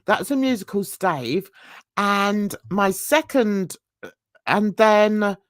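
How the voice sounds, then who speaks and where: A woman talks to her livestream viewers at an unhurried, slow pace. She rambles and mocks herself, laughing as she goes, and her voice sits at a middle pitch. The sound is dry and close, with no room echo. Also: expressive. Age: 60s. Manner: rambling, chatty, self-mocking, laughs often. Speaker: livestream host. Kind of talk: casual chat with livestream viewers.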